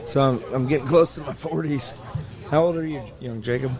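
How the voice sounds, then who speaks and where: A man's voice: medium pitch, steady tone, brisk. A man in his late thirties, a podcast guest, teasing the hosts in rambling casual banter outdoors. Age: 30s. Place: outdoors by a racetrack with a crowd. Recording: loud crowd noise outdoors.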